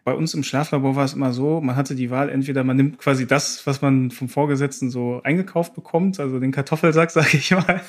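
A man's voice: mid-range voice, steady tone, brisk, hardly any pauses. A man giving an informal, chatty customer testimonial. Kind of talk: casual spoken testimonial.

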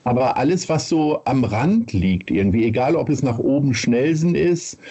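A man from Hanover hosting an interview podcast, asking rambling questions in a relaxed, casual way. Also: medium pitch, moderate pace, slightly rough, highs cut off. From Hanover, Germany. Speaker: podcast host.

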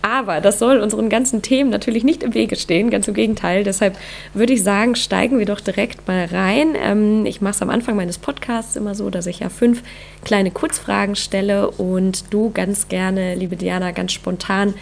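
A woman hosting a podcast, reading an intro and then chatting with a guest, her voice hoarse from a cold. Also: mid-range voice, steady tone, moderate pace. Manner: upbeat, with many fillers. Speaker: podcast host. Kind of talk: interview podcast, scripted intro then chat.

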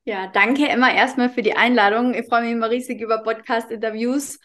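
A young woman from Carinthia speaks with an Austrian accent, her voice a touch high. She talks openly and personally as a podcast guest and fills pauses with soft "ähm"s. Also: steady tone. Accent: Austrian German, Carinthian. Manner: warm, open, lots of fillers. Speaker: podcast guest, mindset coach. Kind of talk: casual podcast interview.

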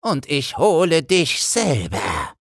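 A man voicing a sly, menacing devil in a fairy tale, scornful and commanding, with drawn-out words and a husky rasp. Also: on the high side, huge pitch swings, fairly bright tone, no room sound, studio quality, voice pushed up, heavily acted. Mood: angry, threatening. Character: sly menacing devil posing as old stranger.

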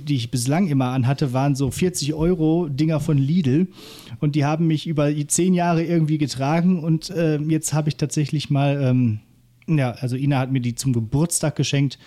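A man's voice: fairly high pitch, warm tone. A man co-hosting a podcast, chatting casually and rambling with many fillers and restarts. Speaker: podcast co-host. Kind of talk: casual chat. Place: holiday flat.

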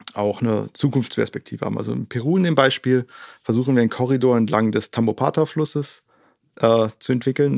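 A man from Germany with a mid-pitched voice talks as an interview guest. He explains step by step and in detail, often adding little confirmation tags. The sound is dry, with very little room. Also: a little mellow, no room sound. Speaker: conservation organisation co-founder, interview guest.

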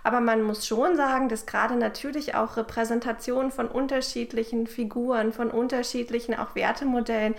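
A woman's voice: on the high side, fairly even intonation, a little fast, creaky, hardly any pauses. A woman speaking as an academic expert, explaining in careful, scholarly wording.

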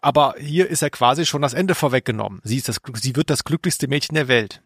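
A man's voice: medium pitch, fast, a bit bright, breathy edge, no room sound, clean studio sound. A man co-hosting a podcast in casual, analytical conversation. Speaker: podcast co-host.

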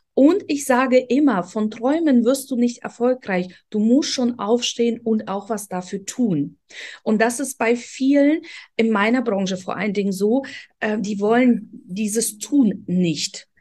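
A woman's voice: fairly high pitch, measured pace, warm tone. A woman from Ukraine, hosting an interview and talking in an earnest, motivational, direct way. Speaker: host. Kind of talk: interview conversation.

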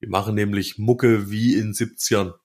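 Man, lowish voice, slangy, colloquial, casual podcast co-host. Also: steady tone, normal speed, a little mellow.

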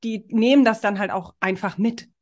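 Woman, podcast host, upbeat and chatty, encouraging, medium pitch. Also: normal speed, a little mellow. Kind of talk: casual podcast interview.

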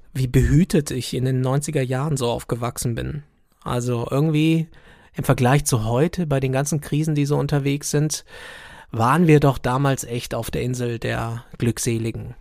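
A man's voice: mid-range voice, darkish tone, no echo, clean studio sound. Reflective man in his thirties asking questions. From Germany (Stuttgart). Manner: reflective, asks probing questions. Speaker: podcast co-host. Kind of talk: conversational podcast discussion.

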